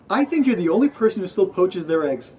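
A man's voice: sits high, big pitch swings, a little fast, a little mellow. An actor playing an oddly carefree, upbeat male housemate in a naturalistic audio drama. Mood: chirpy, amused.

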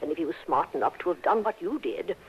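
Well-to-do older lady: husky, swooping, fretful delivery on a muffled vintage radio broadcast. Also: fairly low pitch, animated, fast. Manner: fretful, gushing. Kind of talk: 1960s radio drama acting.

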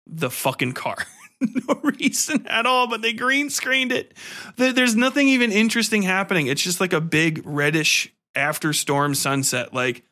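A man's voice: a little high, sharp tone. A man hosting a podcast in a casual, rambling film-review rant, profane, exasperated and mocking.

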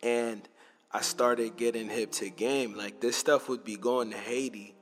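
A young man hosting a podcast, telling loose, slangy stories in a casual way and dropping into low asides. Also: steady tone, measured pace. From Montreal, Canada. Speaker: podcast host. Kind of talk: casual storytelling.

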